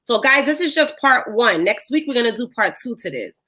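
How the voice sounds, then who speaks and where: Hoarse woman podcast host, loose chatty delivery with lots of fillers, a little higher voice. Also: big pitch swings, fairly quick, bright, forceful tone. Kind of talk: casual chat.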